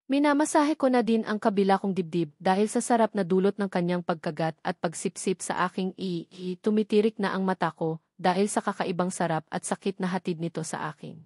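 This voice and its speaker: A woman's voice: mid-range voice, a little fast, a little mellow, no echo. Filipino woman narrating a novel, dry close sound. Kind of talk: scripted first-person story narration.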